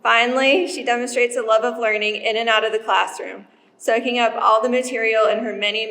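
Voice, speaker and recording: A woman's voice: fairly high pitch, fairly bright tone. Woman presenting an award in a reverberant hall. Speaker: award presenter at a school ceremony. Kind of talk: reading a prepared award citation. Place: school awards ceremony hall. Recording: long reverb from a large hall.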